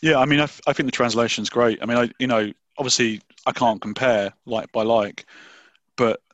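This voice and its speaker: A man interviewing a writer on a podcast, asking questions in a thoughtful, measured way. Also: mid-range voice, fairly even intonation, fairly bright tone, no echo, lo-fi sound.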